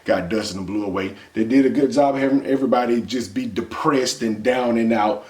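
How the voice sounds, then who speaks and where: A man's voice: mid-range voice, fairly quick, darkish tone. An American man in his thirties giving a casual, colloquial movie review as a vlog co-host, stressing points with short repeated phrases. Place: small indoor room with bare wall. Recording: echoey untreated room.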